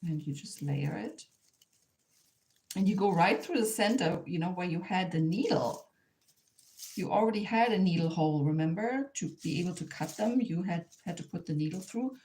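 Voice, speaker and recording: A woman from Germany with a German accent gives an informal hands-on craft demonstration in English as a second language, sometimes halting and repeating herself as she searches for words. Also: lowish voice, animated, darkish tone. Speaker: craft instructor, artist.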